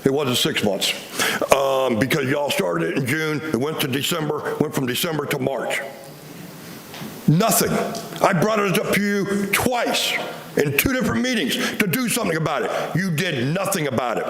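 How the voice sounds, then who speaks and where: A man with a big, loud voice scolds a city commission from the public podium, exasperated and lecturing. His tone is gravelly and a little high. The room sounds echoey, with faint noise behind him. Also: expressive, normal speed. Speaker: public commenter. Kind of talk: public comment at a city meeting. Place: council chamber. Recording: roomy chamber with faint noise.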